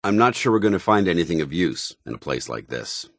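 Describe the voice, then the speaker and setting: Deep-voiced, stern military paladin. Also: male voice, very animated, fairly quick, dry recording, clean studio sound. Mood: solemn. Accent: American. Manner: formal, clipped, earnest military delivery. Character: stern, zealous military paladin, loyal armored soldier companion. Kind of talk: video game companion dialogue.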